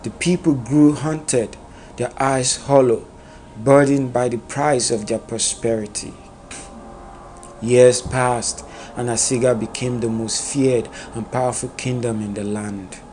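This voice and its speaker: Slow, solemn male storyteller over loud ambience. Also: mid-range voice, steady tone, slight background noise. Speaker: storyteller narrator. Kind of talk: scripted narration of a dark epic folktale.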